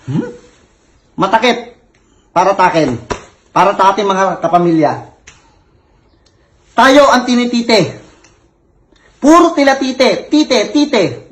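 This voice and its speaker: High-voiced male comic ranting with a thick lisp, aggrieved and insistent. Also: a bit bright. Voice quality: heavy lisp, s turned to t. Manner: angry, complaining, repetitive. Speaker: self-styled actor on social media. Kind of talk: comic rant.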